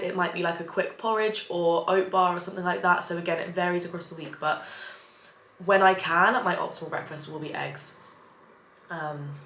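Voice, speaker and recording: A woman's voice: fairly low pitch, a bit bright, a little hiss or hum. A young woman vlogging casually about her day, rambling and bubbly, her voice nasal from allergies. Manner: bubbly, rambling, self-deprecating. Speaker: vlogger and personal trainer. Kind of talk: chatty daily vlog. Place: at home in her flat. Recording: roomy, distant home audio with faint ambience.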